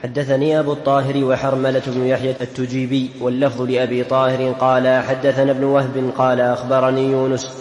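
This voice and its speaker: A man's voice: medium pitch, monotone, fast talker, few pauses, darkish tone. A man reading hadith texts and their chains of narrators aloud to a teacher. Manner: level recitation. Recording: echoey hall, faint noise.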